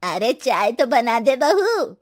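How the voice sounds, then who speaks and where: A woman's voice: on the high side, big pitch swings, slow pace, no echo, studio quality, exaggerated voice. A woman voicing a demanding middle-aged mother-in-law in a cartoon, nagging and ordering her daughter-in-law around. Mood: bossy. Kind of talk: cartoon voice acting.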